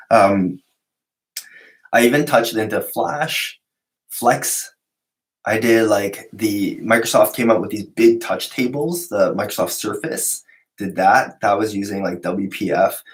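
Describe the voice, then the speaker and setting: A man hosting a relaxed live stream, answering viewer questions. He's a little sick, which drops his voice lower than usual, and he rambles with fillers and restarts. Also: slow pace, long pauses.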